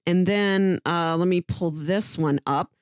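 A woman's voice: lowish voice, takes it slowly, clear, resonant tone, no room sound, clean studio sound. A woman co-hosting a podcast, giving recommendations in a chatty, informal way with casual asides. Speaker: podcast co-host.

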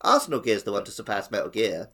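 A man's voice: on the low side, very animated, fast, crowd noise. A man co-hosting a casual gaming podcast, explaining his opinions conversationally. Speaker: podcast co-host.

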